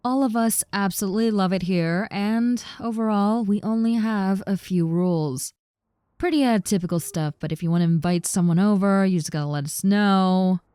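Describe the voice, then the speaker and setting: A woman's voice: mid-range voice, moderate pace, dark tone, smooth, no room sound, natural voice. A woman playing a friendly, harmless giant snake-girl roommate in a roleplay audio, talking in a casual, reassuring, teasing way. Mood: casual, welcoming. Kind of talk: roleplay audio with personal attention, conversational acting.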